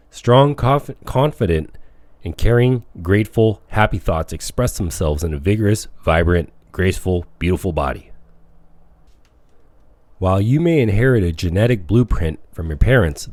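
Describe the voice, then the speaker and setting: A man with a low-pitched voice reads a self-improvement book aloud. He moves through it phrase by phrase with measured emphasis. The sound is dry and close, with very little room on it. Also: darkish tone, dry recording. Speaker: audiobook reader. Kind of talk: audiobook narration of a self-help book.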